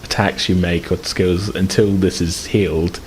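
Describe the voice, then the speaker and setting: Man, game master, lowish voice, relaxed, halting, faint hiss. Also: poor audio. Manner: relaxed, hesitant with many fillers. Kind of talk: narrating a tabletop game.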